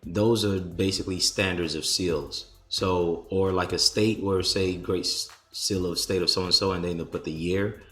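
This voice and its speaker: A man hosting a conference call, answering a caller's questions in a measured, explanatory way with some restarts. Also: sits low, steady tone, a bit bright, reverberant, soft background music.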